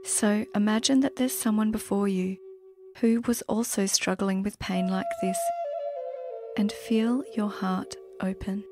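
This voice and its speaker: Female meditation guide, medium pitch, soothing and slow with long pauses, set over prominent soft flute music. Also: fairly even intonation.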